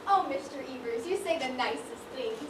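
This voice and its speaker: A woman's voice: high-pitched, lively intonation, moderate pace. A woman acting on stage as a sweet, fretful young shop assistant, deferential and theatrical. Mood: flustered. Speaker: stage actor in a one-act play. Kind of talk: projected amateur stage acting. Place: theatre stage, distant microphone. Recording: very echoey, loud noise, distant mic.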